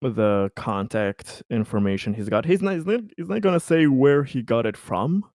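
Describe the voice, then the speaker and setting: A man playing a tabletop roleplaying game, narrating his character off the cuff in a halting, stumbling way. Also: mid-range voice, lively intonation, a little mellow, no echo, studio quality.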